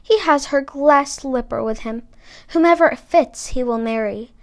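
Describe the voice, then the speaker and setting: Selfish young fairy tale stepsister, high voice, scornful, excitable done by a female reader. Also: normal speed. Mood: excited. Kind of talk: storybook character voice.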